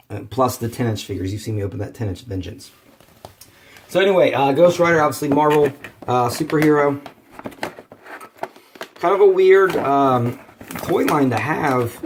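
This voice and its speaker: A hoarse man, rambling casually through a toy collector's unboxing video. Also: mid-range voice, a little hiss or hum. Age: 30s. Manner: rambling, many fillers and restarts. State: hoarse from bronchitis.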